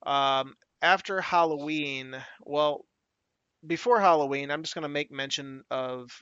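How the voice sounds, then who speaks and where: A man hosting an interview, speaking haltingly with many fillers as he steers through topics. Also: a little high, sharp tone, clear, resonant tone, no room sound, muffled recording. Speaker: interview host. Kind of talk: casual interview.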